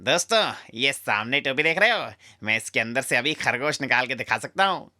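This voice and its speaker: A man's voice: mid-range voice, lively intonation, normal speed, sharp tone. A man voicing a small, fearless magician mouse, showy and confident, in a cartoon story. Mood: showy, excited.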